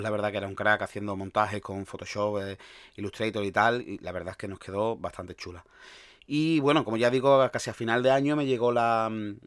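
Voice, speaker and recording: A man from Andalusia with a softened Andalusian accent, hosting a solo podcast in a rambling, restarting way. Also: fairly low pitch, no room sound, studio quality. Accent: Andalusian Spanish, softened. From Andalusia, Spain. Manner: rambling, with frequent restarts and fillers. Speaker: podcast host.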